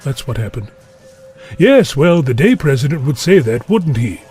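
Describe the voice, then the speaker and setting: An actor playing a pompous, fretful government ambassador who grumbles gloomily and disdainfully in a comic audio drama. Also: male voice, mid-range voice, sing-song, moderate pace, darkish tone, breathy edge. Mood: exasperated. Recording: music behind the voice.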